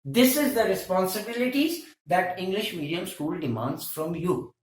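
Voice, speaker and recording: A woman's voice: fairly low pitch, animated, normal speed. Pakistani accented woman lecturing teachers, firm and scolding, asks rhetorical questions, roomy echo. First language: Hindko. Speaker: teacher trainer. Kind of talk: training workshop lecture.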